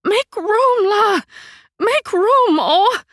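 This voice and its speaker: A woman doing a determined shouting mother. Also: extremely high pitch, animated, extremely slow, dry recording, clean studio sound, heavily acted. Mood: urgent shouting. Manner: shouting, panting. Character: determined mother hauling bags.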